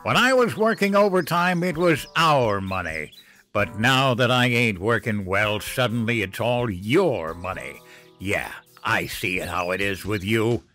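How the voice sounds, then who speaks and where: A man's voice: lowish voice, lively intonation, measured pace, sharp tone, faint music behind. A male narrator voicing a drunk, bitter, out-of-work father who slurs and growls. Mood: sneering. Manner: slurring, growling, sneering. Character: drunk bitter unemployed father, middle-aged man.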